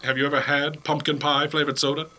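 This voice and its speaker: A man's voice: on the high side, level tone, fast, pushed and bright. A man playing a pompous, impatient scientist professor in a comic 1930s-style radio play, delivered melodramatically and dismissively. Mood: dismissive, smug. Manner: melodramatic narration turning dismissive. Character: pompous, impatient male scientist professor recounting a Martian invasion. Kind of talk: 1930s radio play acting, comedy spoof.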